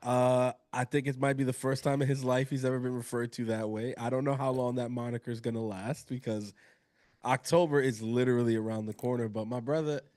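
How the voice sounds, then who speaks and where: A man with a cold who sounds nasal, co-hosting a casual sports chat in a relaxed, conversational way. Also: mid-range voice, a little fast. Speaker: podcast co-host.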